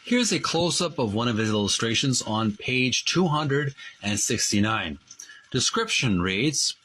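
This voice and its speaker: A man hosting a video channel, reading from a book and adding casual commentary in an earnest, deliberate way. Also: mid-range voice, lively intonation, bright, forceful tone, slight background noise.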